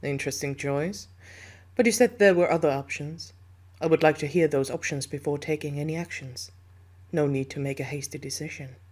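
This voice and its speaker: A commanding alien negotiator from a hive, acted by a woman, in a sci-fi audio drama. She is composed and calculating, with a low voice for a woman and formal, deliberate phrasing. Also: fairly even intonation, a little mellow. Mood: intrigued, calculating. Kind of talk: scripted sci-fi audio roleplay.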